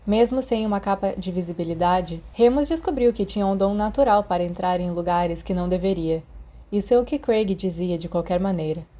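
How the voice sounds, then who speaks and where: A woman's voice: mid-range voice, brisk, dark tone. A woman with a Brazilian Portuguese accent reading a story aloud as a scripted audiobook narration. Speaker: podfic narrator.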